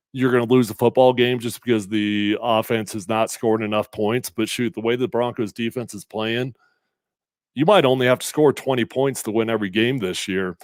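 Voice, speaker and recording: Man, middle-aged, sports host, a little congested, energetic casual talk, resonant voice. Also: mid-range voice, dry recording. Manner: energetic, conversational, emphatic with fillers. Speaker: sports radio host previewing a game. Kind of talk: casual solo sports talk with live comments.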